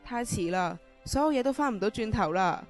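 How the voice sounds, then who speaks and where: An actress as a middle-aged female prisoner in a radio drama. She is manipulative and commanding, with a desperate edge. She talks quickly at a medium pitch, with music clearly underneath. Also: animated, lightly acted. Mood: hurt, desperate. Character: scheming, desperate middle-aged woman prisoner plotting an escape. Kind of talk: amateur radio drama acting.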